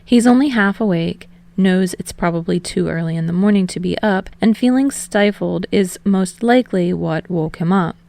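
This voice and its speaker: Podfic narrator: woman, lower-pitched voice with noticeable vocal fry, reading scripted fiction. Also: normal speed, few pauses, warm tone, no echo.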